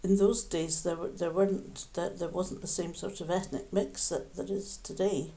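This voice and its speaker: Low gravelly older woman. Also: steady tone, normal speed. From Scotland. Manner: gentle, reflective, with frequent restarts. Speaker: interviewee, mother. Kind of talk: reminiscing conversation.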